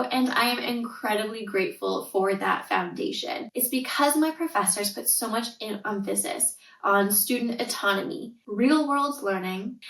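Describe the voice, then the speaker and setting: A woman's voice: a little high, fairly bright tone, reverberant, far from the mic, rough recording. A Canadian woman in her thirties hosting a solo podcast, speaking in an earnest, reassuring and emphatic way. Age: 30s. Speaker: podcast host, tutor and coach. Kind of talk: scripted solo podcast intro.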